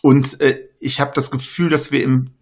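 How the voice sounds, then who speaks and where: A man's voice: medium pitch, little pitch movement, moderate pace. A man who stutters, speaking in a casual panel discussion, with many fillers and restarts.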